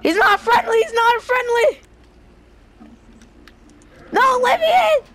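An excitable, dramatic young player improvising a roleplay while playing a video game. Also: very high-pitched, takes it slowly, slight background noise.

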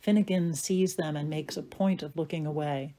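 A woman's voice: low voice, fairly even intonation, moderate pace, dark tone, slight background noise. A woman reading stage directions as scripted narration for an audio play.

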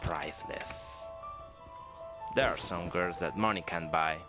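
A man playing the deadpan announcer of a credit card ad parody, speaking in a measured way. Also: deep voice. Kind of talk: scripted ad parody voice-over. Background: guitar music.

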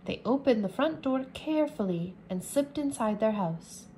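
A woman teacher reading a children's chapter book aloud, slowly and clearly, indoors at a table. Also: a little high, lively intonation, mellow, a little hiss or hum, low-quality recording. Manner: slow, careful, clear reading. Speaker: English teacher.

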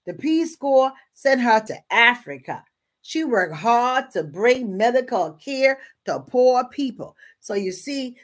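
A woman playing a folksy, exuberant churchgoing storyteller with an American accent, reading to children with husky, exclamatory asides. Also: on the high side, full character voice. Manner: exclamatory, folksy, repeats interjections. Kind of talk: dramatic read-aloud with chatty asides. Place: small room at home.

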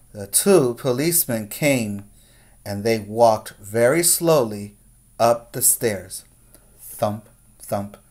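Slow-paced man reading to preschoolers. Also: medium pitch. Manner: deliberate, expressive, checks in with listeners. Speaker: preschool teacher. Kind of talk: read-aloud storytelling for preschoolers. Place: small plain room indoors. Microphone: webcam at arm's length.

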